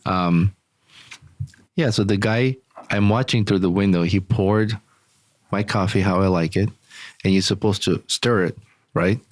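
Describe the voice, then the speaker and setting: A man's voice: low voice, unhurried, long pauses, no room sound. A man whose first language is German, co-hosting a podcast in crude, casual banter, swearing freely and laughing often. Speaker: podcast co-host.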